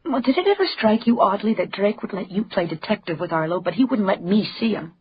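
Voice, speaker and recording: An actress playing a bold newspaper reporter, knowing and teasing, in a radio crime drama. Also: female voice, mid-range voice, expressive, fast talker, muffled recording, slight background noise, natural voice.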